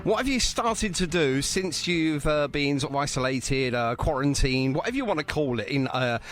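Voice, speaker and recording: A man's voice: fairly high pitch, lively intonation, normal speed, a bit bright, faint music behind. A man hosting a live radio show in a casual, jokey, rambling way.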